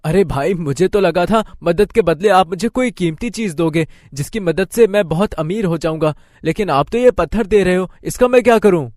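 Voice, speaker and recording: A man's voice: high voice, moderate pace, hardly any pauses, a little mellow, no echo, pitched above the performer's own voice, lightly acted. A boastful young village layabout from a cartoon moral tale, voiced by a man. He speaks in a raised, exclaiming voice that sounds whiny and self-important. The naive son is heard close and dry. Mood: disappointed, curious. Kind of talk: cartoon moral story voice acting.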